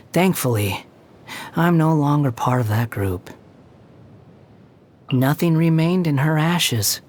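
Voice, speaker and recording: An actor playing a scornful, sardonic young male immortal wanderer in a video game, with a husky voice. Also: fairly high pitch. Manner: haughty, sardonic, sneering. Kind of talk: scripted video game character voice lines.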